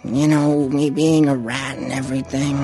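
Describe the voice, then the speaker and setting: A man's voice: mid-range voice, little pitch movement, moderate pace, over music, lightly acted. Twitchy junkie rat voiced by a man. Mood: twitchy, evasive. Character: jittery strung-out male junkie rat. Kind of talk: cartoonish noir game acting.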